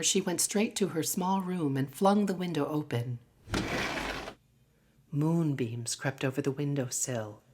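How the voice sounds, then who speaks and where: A woman narrating a literary short story in an expressive, dramatic storytelling manner. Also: rumbling low, slight background noise. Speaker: story reader.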